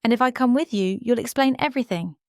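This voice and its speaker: Wary, higher-pitched woman artist, as a narrator voices her. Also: quick pace, darkish tone, no room sound, clean studio sound, lightly acted. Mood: wary, firm. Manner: guarded, questioning, direct. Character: skeptical, bold young woman artist, wary but fascinated.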